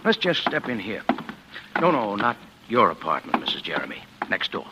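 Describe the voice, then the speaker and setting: An actor playing a brisk, sly police lieutenant with wry courtesy in a 1940s radio drama. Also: male voice, fairly low pitch, big pitch swings. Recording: dull, slightly noisy vintage radio recording.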